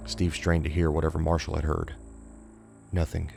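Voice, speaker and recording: A man narrates horror fiction in a very low voice. The delivery is scripted and suspenseful, with little movement in pitch, and music plays clearly underneath. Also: little pitch movement, normal speed, a little mellow.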